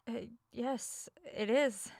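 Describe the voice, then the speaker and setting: An actress playing a tired, uneasy author staying alone in a haunted house, speaking naturally with a guarded, hesitant manner in a horror audio drama. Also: female voice, a little high, very slow, gravelly, a little mellow, breathy edge, no echo, clean studio sound, heavily acted.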